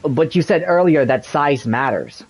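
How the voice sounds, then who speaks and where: An actor voicing a young, calm, skeptical traveler in a video game, measured and doubting. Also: mid-range voice, slightly rough.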